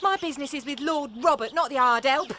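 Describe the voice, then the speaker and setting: A woman's voice: high voice, quick pace, sharp tone, slight background noise. An actress playing a brash, defiant working-class woman in a radio drama. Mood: furious.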